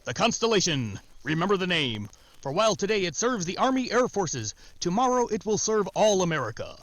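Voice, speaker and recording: High-pitched, animated male radio announcer. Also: brisk, no room sound, low-quality recording. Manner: emphatic, formal. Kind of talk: scripted 1940s radio announcing, sponsor read.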